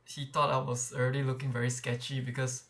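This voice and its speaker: A man's voice: mid-range voice, monotone, brisk, fairly bright tone. A young man talking candidly in a casual vlog, reflecting with frequent restarts and repeated words, recorded on a clip-on shirt mic. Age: young adult. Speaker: vlogger.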